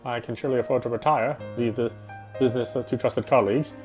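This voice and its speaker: A man's voice: medium pitch, fairly even intonation, fast talker, music in the background. A man reading first-person story narration aloud in a dramatic way as he plays a visual novel.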